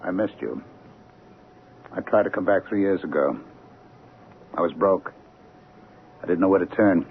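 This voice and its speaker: Low-voiced, wheedling runaway husband, old radio. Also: male voice. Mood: pleading. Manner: halting, self-pitying, wheedling. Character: shifty, pleading husband returned from faked death, sick. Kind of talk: old-time radio drama acting. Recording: narrow, noisy old radio recording.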